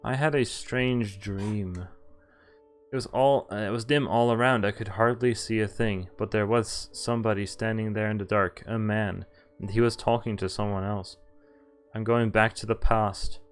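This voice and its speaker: A man's voice: lowish voice, fairly even intonation, moderate pace, smooth, faint music behind. A male streamer reading visual novel text aloud, sometimes stumbling and correcting himself. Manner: stumbles over words and self-corrects. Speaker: game streamer.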